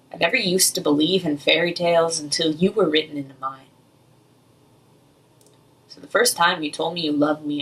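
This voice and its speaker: A young woman performs slam poetry in a slightly low-pitched voice, a little way off from the microphone. Her delivery is earnest and emotional, moving in the measured rhythm of spoken word. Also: a bit bright. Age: young adult. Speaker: slam poet. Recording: distant, off-mic amateur recording.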